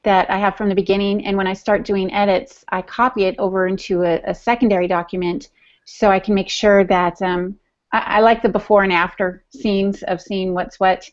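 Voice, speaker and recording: A woman's voice: medium pitch, flat delivery, normal speed. Easygoing woman co-host, muffled sound. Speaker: podcast co-host. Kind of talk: casual writing podcast chat.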